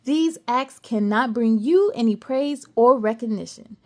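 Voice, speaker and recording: A woman's voice: fairly high pitch, measured pace, close to an everyday voice. A woman voicing a stern, regal fairy queen who speaks formally and commandingly in a read-aloud storybook.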